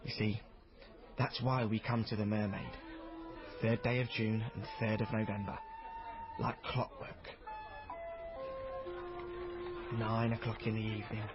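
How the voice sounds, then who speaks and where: A man's voice: on the low side, flat delivery, moderate pace, lo-fi sound, over music, lightly acted. An actor playing a proud, eager fourteen-year-old boy from travelling players, narrating a radio drama in a chatty, theatrical way. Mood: ominous, hushed.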